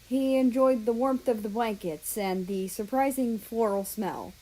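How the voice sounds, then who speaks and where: A woman's voice: medium pitch, dark tone, rough recording. A woman reading a third-person fan fiction story aloud as a narrator.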